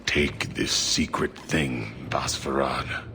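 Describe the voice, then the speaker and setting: A huge, devout horned warrior mage from a fantasy video game. The voice is extremely deep and slow, with a bright, forceful edge. The phrasing is halting, stoic and terse. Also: male voice, fairly even intonation, a little hiss or hum, full character voice. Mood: solemn, firm. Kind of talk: video game cutscene acting.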